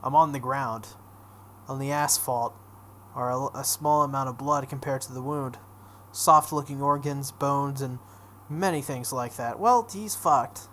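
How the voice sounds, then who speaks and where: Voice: medium pitch, measured pace, fairly bright tone, slight background noise. A let's play host reading visual novel text aloud dramatically, breaking off into casual reactions.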